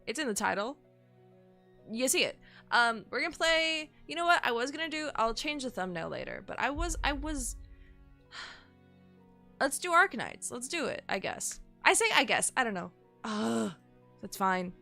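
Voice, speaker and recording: A woman's voice: sits high, big pitch swings, unhurried, vocal fry, pauses often, sharp tone, faint music behind. A woman streamer chatting casually with her audience while sick, nasal and sniffly, rambling and swearing. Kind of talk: casual live-stream chat.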